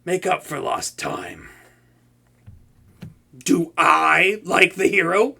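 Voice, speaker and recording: A man's voice: a little high, animated, slow pace, creaky, bright, forceful tone, breathy edge, full character voice. A young man voicing a proud, tsundere male supervillain who cooks for a captive hero, stammering and easily flustered in a comedic audio roleplay. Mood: wistful. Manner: stammering, flustered, talks to an unseen listener.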